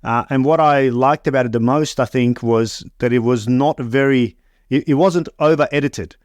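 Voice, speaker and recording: A man hosting an interview podcast, reading an introduction and then asking questions in a measured way, often restarting sentences. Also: medium pitch, no room sound, muffled recording. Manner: measured, stumbling restarts when unscripted. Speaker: podcast host.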